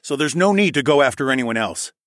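A man's voice: medium pitch, expressive, fairly quick, fairly bright tone, dry recording, studio quality, voice pushed up, close to an everyday voice. A man playing a defiant, sardonic male hero who argues and snarls in a fantasy audiobook. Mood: sardonic, firm. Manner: snarling, mocking, argumentative.

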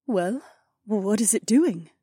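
A man voicing a cautious woman companion in a fantasy audiobook, with a breathy tone. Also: high voice, animated, slow, dark tone, pitched above the performer's own voice, full character voice. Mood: puzzled.

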